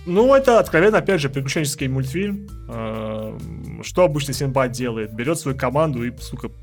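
A man's voice: mid-range voice, expressive, fairly quick, soft background music. A man co-hosting a podcast in casual chat, rambling and stammering as he searches for his words. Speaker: podcast co-host.

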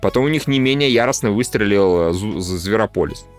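A man with a low voice hosts a casual podcast. He talks fast in blunt, informal slang, with music playing behind him. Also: animated. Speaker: podcast host. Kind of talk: casual podcast discussion of animation.

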